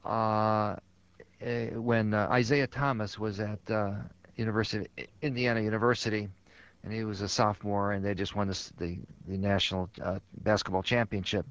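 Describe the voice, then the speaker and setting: An older man from Chicago tells sports stories in a halting way, full of "uh"s and restarts. His voice sits low. The sound is dry and dull, like an old radio broadcast. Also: level tone, fairly bright tone, dry recording. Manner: halting, many fillers and restarts. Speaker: guest, sports writer. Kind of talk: conversational storytelling.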